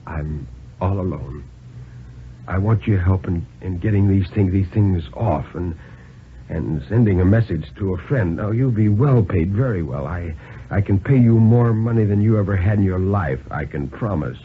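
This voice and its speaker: A worn-out gentleman banker, acted by a man, pleads in a low, halting voice. He sounds desperate and formal, on a muffled old radio recording with faint hiss. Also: lively intonation. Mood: pleading. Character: desperate, exhausted, formal-spoken male banker on the run. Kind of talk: 1950s radio dramatic reading. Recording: lo-fi 1950s radio, hissy.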